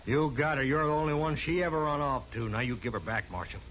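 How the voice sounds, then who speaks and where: An actor playing a mean, stubborn frontier husband with an American accent and rough, threatening speech in a 1950s radio western. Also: male voice, fairly high pitch, quick pace, natural voice. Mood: menacing. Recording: muffled, noisy vintage radio recording.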